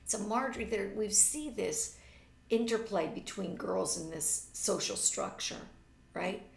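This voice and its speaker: Creaky-voiced middle-aged woman reading aloud. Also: medium pitch, measured pace. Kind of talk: story read-aloud with brief teaching asides. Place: living room or home office, indoors. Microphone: webcam at a little distance. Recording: roomy, slightly distant webcam sound.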